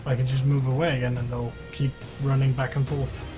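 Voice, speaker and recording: A man hosts a live game stream with casual, conversational commentary. His voice sits at a middle pitch, and music plays faintly underneath as he talks through his play. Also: level tone, brisk. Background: background music.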